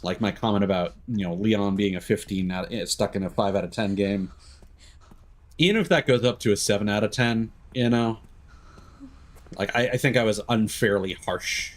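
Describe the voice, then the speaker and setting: A man giving casual, opinionated, sweary commentary as he streams a game live. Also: on the low side.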